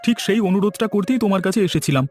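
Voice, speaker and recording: Casting: male actor doing anxious, respectful cartoon villager, high voice, rattling speed. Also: animated, very fast, darkish tone, faint music behind. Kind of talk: cartoon voice acting.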